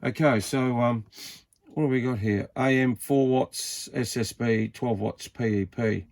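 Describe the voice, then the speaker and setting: A man's voice: medium pitch, steady tone, unhurried, darkish tone. A man giving a casual, informal unboxing commentary, muttering to himself as he handles things. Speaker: hobbyist reviewer of vintage CB radios. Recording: clear background noise, handling clicks.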